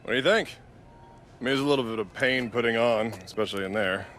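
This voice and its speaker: A man's voice: medium pitch, quick pace, fairly bright tone, a little hiss or hum, close to an everyday voice. An actor playing a cocky, teasing young man in a game cutscene, coaxing in a casual, joking way. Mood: teasing, eager. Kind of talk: naturalistic game cutscene dialogue.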